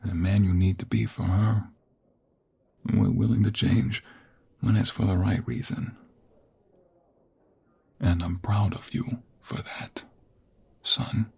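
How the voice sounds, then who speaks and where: Ageing mafia patriarch acted by a man for audio roleplay: low, rough husky voice, weary paternal authority. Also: fairly even intonation, slow, pauses often, a little mellow, heavily acted. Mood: tender, proud. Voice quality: husky, gravelly. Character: ageing mobster father, weary, stern but softening. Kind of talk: intimate ASMR audio roleplay acting.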